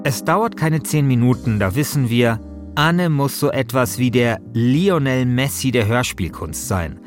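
German man, medium-pitched voice, scripted podcast narration, faint guitar music behind. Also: lively intonation, a little slow. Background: soft guitar music.

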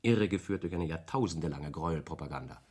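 An actor playing a calm, elitist devil in a radio drama, negotiating in a measured, formal, reasoning manner. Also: male voice, rumbling low, husky, full character voice. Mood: calm, persuasive.

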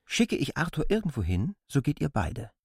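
An imperious stranger in a literary radio drama. He is brusque and exacting, issuing orders with a highly animated, swinging intonation. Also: moderate pace, vocal fry, slightly rough, no echo, studio quality, exaggerated voice. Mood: commanding. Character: K., stern imperious male stranger commanding his helpers.

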